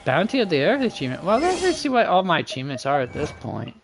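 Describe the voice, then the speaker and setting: A man's voice: fairly high pitch, huge pitch swings, fast, creaky, music in the background. A man doing casual livestream game commentary, thinking aloud and muttering to himself in a folksy, slangy way. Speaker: game streamer.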